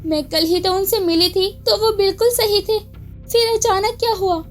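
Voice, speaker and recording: A woman voicing an earnest, devoted girl heroine in an animated story, troubled but determined. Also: extremely high pitch, moderate pace, faint music behind. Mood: alarmed, determined.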